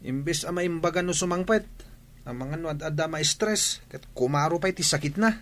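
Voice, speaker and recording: An actor playing a carefree, cheeky young student who talks flippantly and defensively in a radio drama. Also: male voice, fairly high pitch, normal speed, a bit bright, close to an everyday voice. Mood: flippant, protesting. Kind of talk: regional radio drama acting.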